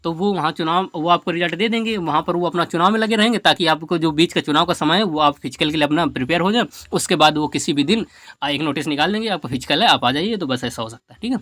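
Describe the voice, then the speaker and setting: A man's voice: a little high, fast, fairly bright tone, no room sound. A man giving an informal, emphatic explainer to students as an exam coach, often repeating his points for stress. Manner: repetitive, emphatic, advising.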